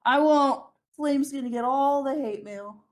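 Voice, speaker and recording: A woman's voice: high voice, unhurried, darkish tone. A young woman chatting casually on a podcast, playful, giggly and self-deprecating. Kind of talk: casual chat.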